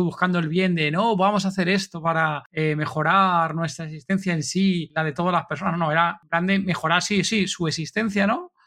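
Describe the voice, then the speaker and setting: A man's voice: on the high side, creaky, sharp tone. A man hosting a podcast, summing up his guest's points and often adding a questioning "right?". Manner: summarizes with frequent tag questions. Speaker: podcast host. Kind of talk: podcast conversation.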